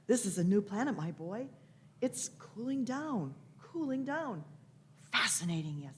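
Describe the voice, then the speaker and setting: A woman's voice: mid-range voice, very animated, a little slow, a little hiss or hum. A woman playing an elderly, eccentric time traveler in a radio play, musing aloud with old-fashioned endearments and repeated phrases. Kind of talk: radio play performed by amateur players.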